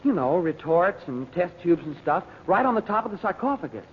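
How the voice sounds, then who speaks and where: An actor playing an eager, excitable teenage boy adventurer in an old radio serial, telling things breathlessly in slang. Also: high-pitched, a little fast. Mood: eager, boastful. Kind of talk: 1930s-40s radio serial acting. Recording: lo-fi noisy vintage radio recording.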